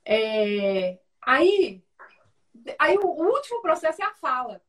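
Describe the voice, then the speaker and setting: A woman's voice: high voice, sing-song, slow pace. A woman with a Brazilian Portuguese accent, chatting as an interview guest over a video call, full of tag questions and restarts. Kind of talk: casual conversation. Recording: voice distant from the mic.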